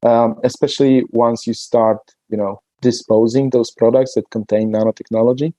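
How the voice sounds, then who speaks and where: A man from Poland, speaking as a podcast guest in a relaxed, hesitant way with many fillers. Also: medium pitch, normal speed, no echo. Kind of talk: conversational podcast interview.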